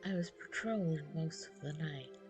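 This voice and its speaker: A woman voicing a sweet, cheerful and confident young priestess in a story, with a gentle, polite, perky manner. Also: deep voice, normal speed, over music, natural voice. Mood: teasing, reassuring. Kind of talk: storybook character voice.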